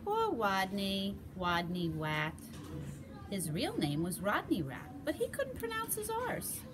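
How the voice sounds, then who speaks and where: A woman narrates a children's picture book slowly, with very lively pitch. She leans on key words and pauses for drama, and there is clear background noise. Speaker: storybook reader. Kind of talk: expressive children's read-aloud narration.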